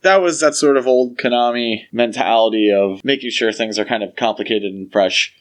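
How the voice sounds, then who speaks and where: A man's voice: medium pitch, normal speed. A man co-hosting a casual podcast discussion, explaining things in an opinionated way. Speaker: podcast co-host.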